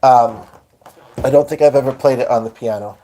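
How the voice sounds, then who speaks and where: A man's voice: mid-range voice, moderate pace, mellow, a little hiss or hum, low-quality recording. A man live-streaming from home at his piano, chatting loosely to his viewers as he sets up to play. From Hungarian. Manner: rambling, talks to viewers while setting up. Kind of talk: casual live-stream chat. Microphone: phone.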